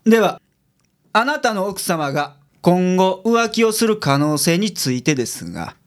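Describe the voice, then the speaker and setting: Man acting a high-voiced, self-assured detective boss. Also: very animated, unhurried. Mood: businesslike. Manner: businesslike, declarative delivery. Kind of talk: radio drama acting.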